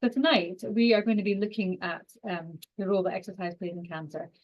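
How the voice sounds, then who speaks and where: A woman in her forties with a strong Scottish accent, giving an online science lecture quickly, with frequent fillers and restarts. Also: medium pitch, steady tone, highs cut off. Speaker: university lecturer and exercise scientist.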